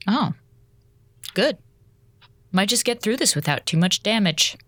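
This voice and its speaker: A woman playing a no-nonsense, impatient space station commander in an audio drama, speaking briskly and directly. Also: mid-range voice, animated, a bit bright, dry recording. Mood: businesslike.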